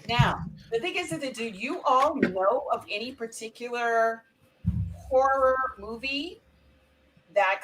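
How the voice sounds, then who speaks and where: A woman's voice: a little high, slow, a bit bright, slight background noise, poor audio. A woman hosting a casual live show in an informal, rambling way, often correcting herself as she goes. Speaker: talk show host.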